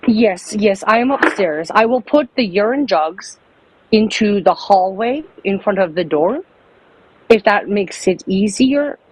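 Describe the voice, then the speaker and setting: A woman making a prank phone call, speaking haltingly and apologizing often. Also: medium pitch, expressive. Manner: halting, overly polite and apologetic. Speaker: prank caller.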